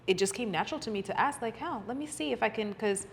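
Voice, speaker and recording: A woman's voice: fairly high pitch, quick pace, creaky, big-room sound. A young woman from Kenya, speaking with an American accent as a podcast guest, chatting in an easygoing, thoughtful way. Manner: easygoing, thoughtful, agreeable, frequent "right" and "yeah". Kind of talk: casual conversation.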